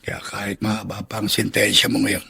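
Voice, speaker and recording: A friendly convict in a Filipino radio drama. His voice is lowish and extremely hoarse with gravel in it, and he speaks plainly to encourage his cellmate. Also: monotone, fairly quick, pushed and bright, full character voice. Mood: encouraging. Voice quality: very raspy, gravelly. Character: encouraging male fellow prisoner, plain-spoken friend.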